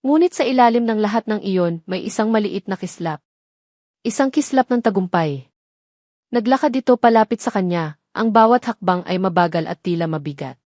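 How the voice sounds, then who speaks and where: A woman narrating a scripted audio drama in a solemn, measured way. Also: mid-range voice, animated, darkish tone, no room sound, clean studio sound. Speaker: story narrator.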